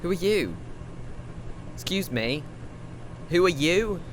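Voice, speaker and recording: An anxious nerdy young guy played by a male actor in an audio drama. His delivery is slow and very lively in pitch, bewildered and blunt, with clear noise behind. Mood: bewildered, alarmed. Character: anxious awkward nerdy young man, alarmed.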